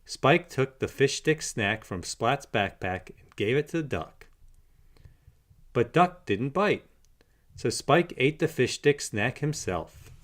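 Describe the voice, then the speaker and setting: Slow, animated male storybook narrator. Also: mid-range voice. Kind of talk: children's read-aloud narration.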